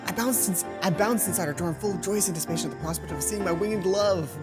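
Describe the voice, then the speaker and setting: A woman with a low voice hosts a playthrough of a story game. She reads the narration aloud and drops in casual remarks of her own. Music plays clearly behind her. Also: quick pace. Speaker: let's play host. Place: closed hot room at home.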